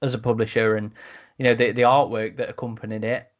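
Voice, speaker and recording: A man in a casual podcast discussion as a host, speaking a little haltingly and repeating words as he goes. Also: mid-range voice, level tone, lo-fi sound.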